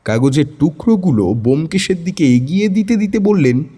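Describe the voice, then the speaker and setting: A man's voice: on the high side, animated, a little fast, mellow, breathy edge. A man narrating a scripted first-person detective story.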